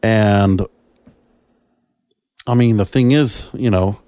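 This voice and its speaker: A man hosting a solo podcast, giving commentary in a halting, rambling way that circles back on his points. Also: on the low side, mellow, smooth, no room sound, studio quality. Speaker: podcast host.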